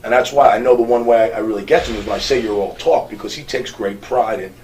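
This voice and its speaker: A man who coaches college basketball, chatting in an interview and talking fast, with lively laughs when he quotes his players. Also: mid-range voice, distant mic, slight background noise, poor audio. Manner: fast, animated, laughs while quoting players. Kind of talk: casual interview chat.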